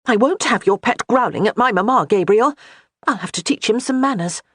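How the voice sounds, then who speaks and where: A woman voicing a flustered young noble wife, rattled and bossy, in a husky voice. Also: lively intonation, a little fast, a bit bright, no room sound, studio quality. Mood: agitated. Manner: rattled, bossy, high-strung.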